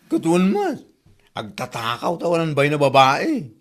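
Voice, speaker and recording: A man's voice: on the high side, huge pitch swings, a little slow, fairly bright tone, exaggerated voice. A man with an Ilocano accent acting a gossipy, resentful laundry worker in a radio comedy drama, with exclamatory delivery. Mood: gossipy, scornful.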